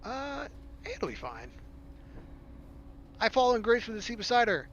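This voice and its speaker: A woman's voice: fairly high pitch, big pitch swings, moderate pace, muffled recording, a little hiss or hum. A woman reading a visual novel aloud as a playthrough host, with flippant, casual asides. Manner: flippant, jokey. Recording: loud game music behind the voice.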